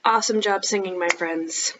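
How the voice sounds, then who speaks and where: A woman leading a storytime for young children as a librarian, talking directly to the kids with questions and pauses. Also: medium pitch, dull audio, background noise. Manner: child-directed, asking questions and pausing for answers.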